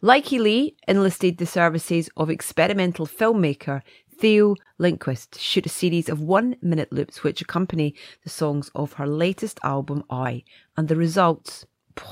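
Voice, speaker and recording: A woman hosting a podcast, her voice a little gravelly, talking in a chatty, effusive way with many ums and restarts. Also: sits low, no room sound, studio quality. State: slightly gravelly, tired voice. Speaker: podcast host. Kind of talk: casual podcast chat and interview.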